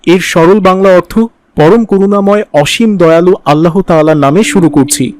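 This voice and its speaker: Man acting a high-voiced cartoon father. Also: moderate pace, darkish tone, slight background noise, close to an everyday voice. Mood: instructive. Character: stern but instructive father explaining religious manners. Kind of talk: didactic cartoon dialogue.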